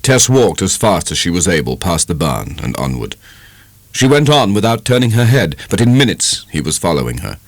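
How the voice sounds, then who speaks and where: A man with a British English accent giving a measured, dramatic reading of a literary novel as an audiobook narrator. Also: sits low, dry recording. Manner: measured, expressive storytelling.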